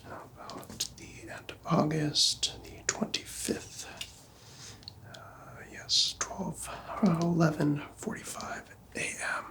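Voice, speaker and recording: A man speaks in a breathy whisper, acting as a doctor for an ASMR roleplay. He is gentle and reassuring, with unhurried, soothing patter as he talks the listener through things. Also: on the high side, flat delivery. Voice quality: breathy, mostly unvoiced whisper. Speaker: ASMR performer playing a doctor.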